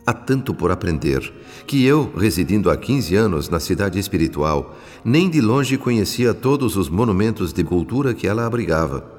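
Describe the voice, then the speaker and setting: An actor with a Brazilian accent playing an earnest male spirit narrator in a radio drama, speaking in a measured, solemn, instructive way. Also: fairly low pitch, very animated, darkish tone. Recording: long studio reverb, music underneath.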